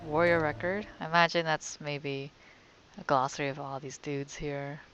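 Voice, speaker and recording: A woman's voice: low-pitched, little pitch movement, normal speed, fairly bright tone, highs cut off, slight background noise. A woman casually commentating on a game as she plays, muttering wry reactions. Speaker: let's-play host.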